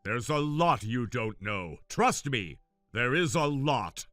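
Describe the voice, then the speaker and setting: A man voices a sick older father in an audio drama, speaking gently and gravely to his son. The voice is slow and bright, recorded close and dry. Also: mid-range voice, very animated, dry recording, soft background music, pitched below the performer's own voice. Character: older ailing father, worried for his family.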